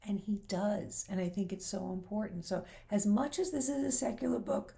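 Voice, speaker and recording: A woman's voice: medium pitch, normal speed, vocal fry, dark tone. A woman hosting a faith-based talk show, speaking earnestly and encouragingly, her voice a little worn. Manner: earnest and encouraging, quoting scripture. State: slightly losing her voice.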